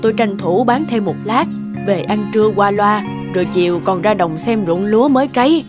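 A woman playing a small, easygoing old tea seller in an animated story, homely and chatty. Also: medium pitch, moderate pace, lightly acted. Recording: music under the voice.

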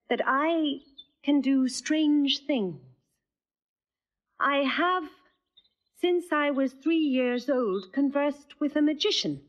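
A quick, teasing young noblewoman in a classical stage drama. Her voice sits a little high and plays boldly with the verse. The recording sounds dull and lo-fi. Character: witty young noblewoman in disguise, playful. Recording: dull lo-fi audio.

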